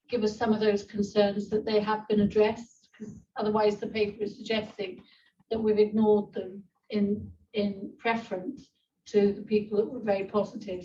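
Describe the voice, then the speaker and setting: A woman's voice: mid-range voice, warm tone. Woman, board member, methodical questions, cites pages, far from the mic, roomy echo. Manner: methodical, cites page numbers, pauses with "um". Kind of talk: formal board meeting questions. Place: meeting room. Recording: distant echoey muffled mic with faint noise.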